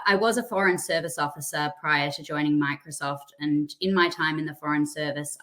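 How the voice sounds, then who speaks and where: Low-voiced Australian woman. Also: fairly bright tone. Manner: friendly, chatty, self-deprecating. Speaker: guest speaker. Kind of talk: presentation on a webinar.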